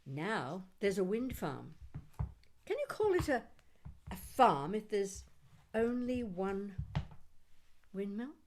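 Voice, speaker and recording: A woman's voice: mid-range voice, darkish tone, a little hiss or hum. A mature woman poet reading their own work expressively, with a dramatic, lilting delivery and chatty introductions. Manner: dramatic, deliberate, lilting delivery that drifts into half-singing. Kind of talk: expressive live poetry recital with chatty introductions.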